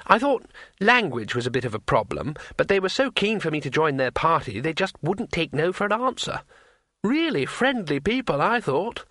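A man's voice: on the high side, very animated, normal speed, fairly bright tone, no room sound, clean studio sound, voice pushed up. A man voicing a naive, polite and anxious tourist in a storybook reading, earnest and plaintive.

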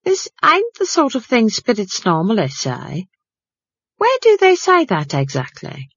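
A woman's voice: on the high side, huge pitch swings, unhurried, slightly rough, no room sound, muffled recording, pitched above the performer's own voice, full character voice. A woman voicing a fussy, gossipy middle-aged housekeeper who talks in an eager, chatty way.

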